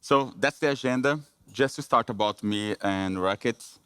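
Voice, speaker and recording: A man with a Brazilian Portuguese accent presents at a business conference. He speaks in a somewhat low voice, often pausing on fillers and restarting phrases. The sound is close and dry, with almost no room echo. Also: a bit bright, smooth. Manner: halting, many fillers and restarts.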